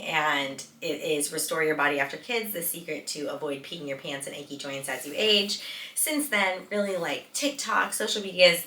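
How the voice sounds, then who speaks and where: Female podcast host, late thirties. Bright, forceful delivery, casual and emphatic, recorded in an echoey room. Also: lowish voice. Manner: informal, emphatic, repeats words for stress. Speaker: podcast host, physical therapist. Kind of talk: casual solo podcast talk. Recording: roomy echo, distant from mic.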